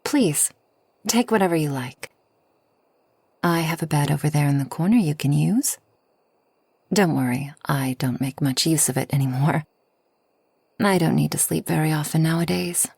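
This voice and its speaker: A woman playing a kind, long-lived forest witch in a soft ASMR roleplay, gentle and unhurried, often near a whisper. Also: low voice, creaky, husky, no room sound, full character voice. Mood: welcoming, gentle. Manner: gentle, unhurried, soothing, slightly formal speech.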